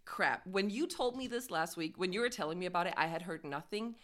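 A woman's voice: lowish voice, animated, brisk, a bit bright. A young woman from Denmark co-hosting a podcast, teasing with bawdy jokes and laughing a lot. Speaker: podcast co-host. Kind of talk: casual chat.

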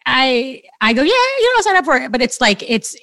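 A woman's voice: sits high, very animated, brisk, vocal fry, fairly bright tone, no echo. Chatty woman on a podcast, candid and jokey, dry close mic. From Armenian family in Glendale area. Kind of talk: casual chat.

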